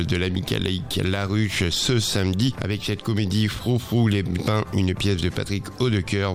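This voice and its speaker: A man presenting a local radio events agenda, brisk with occasional hesitations. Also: lowish voice.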